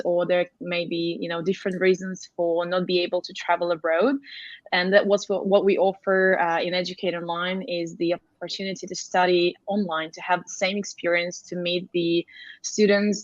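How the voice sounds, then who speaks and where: A woman speaks in a fairly low voice, giving a relaxed webinar talk. Her audio is dull and dry, and she often says "you know" as she explains. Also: dry recording. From Russia. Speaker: head of agency relations. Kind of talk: webinar presentation.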